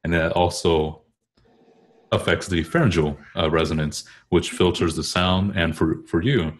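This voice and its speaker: A man's voice: deep voice, fairly even intonation, a little slow. A man explaining vocal technique casually, often rephrasing himself as he goes. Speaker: choral bass singer discussing vocal technique.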